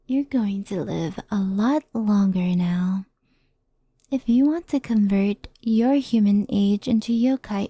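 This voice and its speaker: A woman playing a gentle, anxious, centuries-old fox spirit in an ASMR roleplay, speaking softly and often whispering close to the microphone. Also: medium pitch, no room sound. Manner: soft, breathy, often whispered, unhurried.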